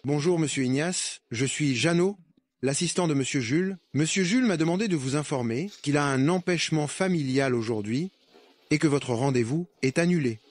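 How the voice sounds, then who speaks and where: An actor playing a formal, deferential personal assistant in a dubbed melodrama. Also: male voice, on the high side, moderate pace, darkish tone, lightly acted.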